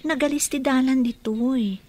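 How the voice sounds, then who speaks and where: A woman in a radio drama playing a caring young fiancée who fusses gently over her man. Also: high-pitched, fairly even intonation, normal speed.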